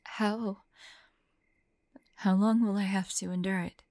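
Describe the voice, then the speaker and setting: Halting, anguished young monster woman, acted by a woman. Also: mid-range voice, fairly even intonation, a little mellow, no room sound, studio quality. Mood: anguished, struggling. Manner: halting, breathy, often dropping into whispers. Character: tormented young monster woman fighting bloodthirst, tender and frightened. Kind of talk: intimate scripted audio roleplay acting.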